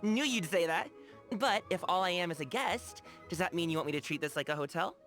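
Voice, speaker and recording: An upbeat young man from a video game, with very lively, swinging intonation. He talks casually and enthusiastically, like an easygoing buddy. Faint music plays underneath. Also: fairly low pitch, fairly quick. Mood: earnest, friendly. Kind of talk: video game character dialogue.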